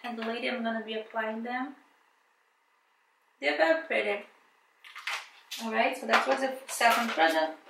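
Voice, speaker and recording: A woman's voice: fairly high pitch, normal speed, vocal fry, pauses often, echoey room, distant mic, background noise. A woman from Brazil with a thick Brazilian Portuguese accent, chatting casually and hesitantly as she unboxes and reviews beauty products, with many fillers and restarts. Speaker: beauty vlogger.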